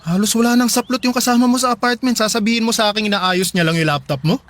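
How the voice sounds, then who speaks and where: A man's voice: very high-pitched, fast, fairly bright tone. A male actor playing an earnest husband and father in a radio drama. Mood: suspicious, angry. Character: earnest husband and father, trusting, then suspicious.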